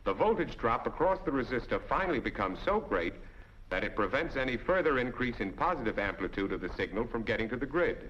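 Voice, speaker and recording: A man's voice: mid-range voice, sing-song, fast, fairly bright tone. An American man delivers scripted instructional narration as a training film narrator, explaining methodically step by step in a didactic way. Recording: dull 1940s film soundtrack.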